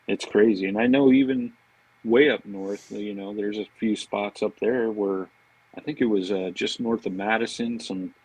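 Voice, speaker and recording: A man's voice: fairly low pitch, big pitch swings, normal speed, vocal fry, a little mellow, slightly rough, no room sound, rough recording. A man chatting casually and telling informal stories as a podcast guest. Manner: informal, anecdotal, peppered with "man" and "you know". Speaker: podcast guest, fishing marketing director. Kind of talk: casual chat.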